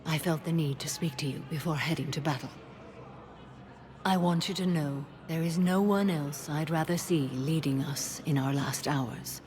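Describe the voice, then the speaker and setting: A woman's voice: low voice, moderate pace, darkish tone, lightly acted. An actress playing a stoic alien warrior-monk woman in a video game, serene, grave and formal. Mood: solemn, wistful. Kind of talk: cinematic video game acting. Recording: long hall reverb.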